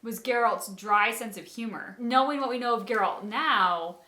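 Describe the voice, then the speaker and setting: A woman's voice: a little high, moderate pace, pushed and bright. A woman co-hosting a casual reaction show, musing aloud and giving emphatic opinions on a story. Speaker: co-host of a game reaction show. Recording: echoey room.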